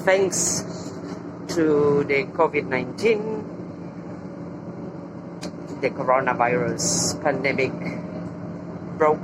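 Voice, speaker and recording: Slow-talking Argentine woman tour guide. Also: deep voice. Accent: Argentine Spanish. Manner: slow, explanatory, points out sights. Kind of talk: virtual walking tour. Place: outdoors on city streets and a tram. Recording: loud street and traffic noise.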